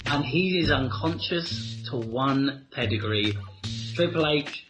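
A man's voice: mid-range voice, slow pace, music in the background, rough recording. A British man in his thirties co-hosting a comedy podcast, telling stories with crude banter. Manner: crude banter, excitable storytelling. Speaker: podcast co-host. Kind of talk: casual comedic podcast chat.

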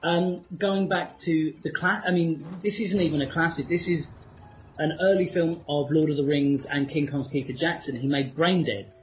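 A man's voice: on the high side, moderate pace, highs cut off, soft background music. A British man co-hosting a casual podcast chat, talking enthusiastically with frequent ums. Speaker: co-host.

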